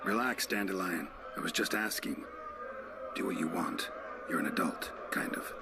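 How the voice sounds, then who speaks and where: An actor playing a world-weary monster hunter, terse and deadpan, in a video game cutscene. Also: male voice, deep voice, moderate pace, vocal fry, background noise. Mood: dry.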